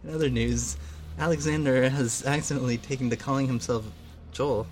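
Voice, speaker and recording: A man co-hosts a homemade radio show with a joking, rambling and self-deprecating manner. His voice sits at a middling pitch, and a faint hiss of noise runs under the recording. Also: steady tone, a little fast, darkish tone. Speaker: co-host. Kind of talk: casual chat.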